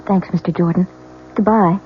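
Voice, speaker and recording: An actress playing an anxious, fretful married woman in a halting, agitated way, in a 1970s radio drama. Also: fairly low pitch, fairly even intonation, close to an everyday voice. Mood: flustered. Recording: dull, hissy old radio recording.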